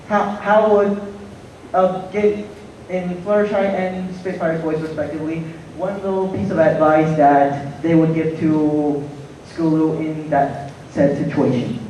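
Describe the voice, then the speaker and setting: A man's voice: a little high, warm tone, slight background noise. A young fan asking a convention panel a question, nervous and stumbling over his words. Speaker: audience questioner. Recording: echoey hall from far off.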